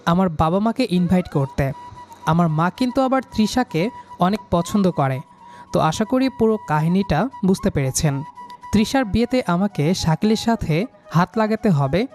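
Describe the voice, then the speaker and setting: A man's voice: high voice, a little mellow. A young man reading a scripted romantic story in the first person, voicing the lead character's lines.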